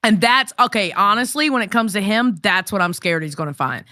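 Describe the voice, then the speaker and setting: A woman's voice: medium pitch, animated, fairly quick, pushed and bright, no echo, clean studio sound. A woman co-hosting a casual podcast chat, blunt and sassy, swearing freely.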